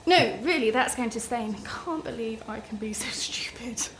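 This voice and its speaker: An actress playing a nervous, tired, self-deprecating woman on a train in a radio play, halting and apologetic, often repeating herself. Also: fairly high pitch, gravelly, fairly bright tone, a little hiss or hum. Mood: apologetic, self-mocking. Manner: halting, apologetic, rambling and repetitive.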